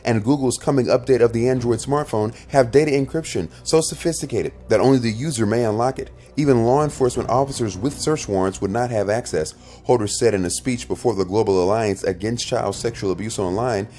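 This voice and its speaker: A man's voice: medium pitch, warm tone. A man reading a scripted news report as a reporter.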